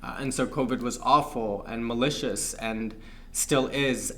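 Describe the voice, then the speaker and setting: A man's voice: mid-range voice, steady tone, a bit bright. Thoughtful young man from Pakistan. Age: young adult. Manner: thoughtful, measured, many fillers. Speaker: guest. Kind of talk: reflective interview talk.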